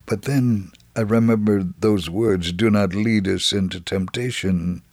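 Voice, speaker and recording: A man playing a devout older man recounting his life in a dramatic reading, with a gravelly, husky voice. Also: fairly low pitch, a little slow, a little mellow, no echo. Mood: reflective, devout. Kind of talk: dramatic first-person reading.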